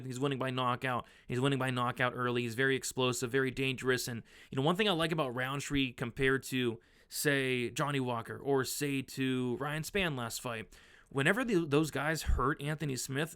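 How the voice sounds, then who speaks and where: A young man, hoarse from losing his voice, casually talking through his fight betting picks with lots of fillers and restarts. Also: mid-range voice, a bit bright. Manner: rambling, filler-heavy, frequent restarts. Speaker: sports betting analyst.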